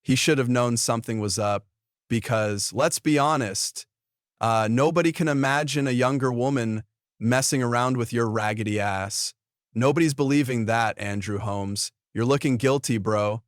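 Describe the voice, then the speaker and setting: A man's voice: medium pitch, moderate pace, dry recording, studio quality. A man hosting online gossip commentary in a blunt, mocking, taunting way.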